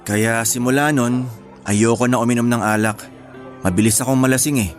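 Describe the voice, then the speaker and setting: A man playing a quiet, gentle homebody in radio drama dialogue, talking in a casual, matter-of-fact way. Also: mid-range voice, steady tone, normal speed, close to an everyday voice. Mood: matter-of-fact, regretful. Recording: faint music under dialogue.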